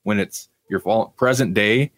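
A man's voice: lowish voice, a little slow, a bit bright, no echo. A man co-hosting a podcast, chatting casually and in a rambling way about television. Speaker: podcast co-host. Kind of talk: casual review chat about TV.

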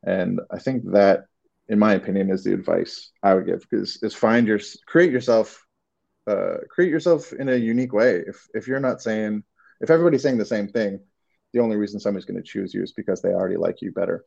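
A man's voice: fairly low pitch, a little fast, gravelly, darkish tone, dull audio. A man from Canada with a Canadian accent, answering interview questions casually and hesitantly, with many restarts. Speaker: creative director, guest.

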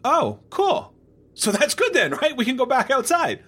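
A woman's voice: high voice, huge pitch swings, normal speed, a bit bright, slightly rough, exaggerated voice. A woman playing a work-shy, anxious space crew member in an improvised sci-fi comedy, stammering and evasive. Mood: eager relief.

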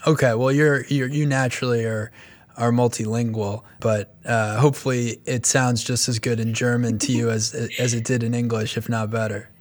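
A man's voice: medium pitch, little pitch movement, normal speed. A man hosting an interview who asks questions in an easygoing way, with small restarts. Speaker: podcast host.

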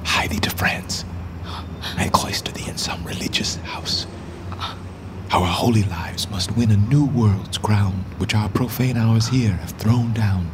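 A man's voice: on the low side, a little slow, whispered, close to an everyday voice. A man acting a deposed, grieving, bitter medieval king in a Shakespearean radio drama, speaking formal, poetic verse theatrically. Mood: sorrowful, resigned.

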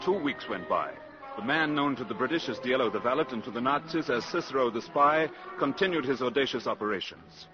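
A man's voice: medium pitch, expressive, fairly quick. A man narrating a 1950s radio drama in a dramatic, scripted storytelling style. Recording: dull old radio recording. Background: music.

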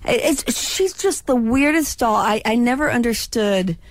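A woman's voice: fairly high pitch, lively intonation, measured pace, no echo. Woman in her 60s, slight lisp, cracks jokes telling old stories, dry close sound. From California. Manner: chatty, joking storyteller with a slight lisp. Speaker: guest, former child actor. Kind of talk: casual radio interview chat.

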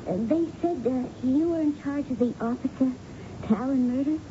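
An actress playing a nervous, doubtful waitress in a 1970s radio drama, speaking hesitantly and second-guessing herself. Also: female voice, high-pitched, moderate pace, close to an everyday voice. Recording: dull, noisy old radio broadcast.

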